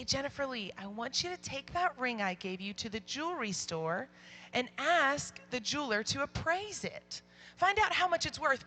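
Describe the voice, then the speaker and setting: Loud, bright young woman speaker. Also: on the high side. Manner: animated, acts out quoted voices. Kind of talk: storytelling youth talk.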